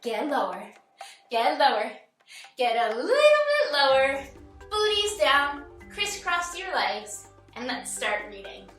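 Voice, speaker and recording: A woman hosting a children's read-aloud and movement lesson, instructing young children in an animated, singsong way. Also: high voice, slow. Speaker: children's yoga and story time host. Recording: roomy echo, distant mic, music bed. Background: music.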